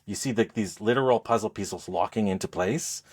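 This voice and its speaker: Low voiced guy on a podcast reviewing games, casual, kinda halting as he talks. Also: breathy edge. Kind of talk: casual game review chat.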